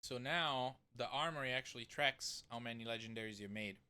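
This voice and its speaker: A man's voice: mid-range voice, fairly quick, clear, resonant tone. A man with an Italian accent, whose first language is Italian, chatting casually to his viewers while he plays a game. He rambles, laughs easily and drifts into tangents. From Italy. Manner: rambling, laughs often, talks to chat. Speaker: streamer. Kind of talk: casual gameplay commentary. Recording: loud game noise behind.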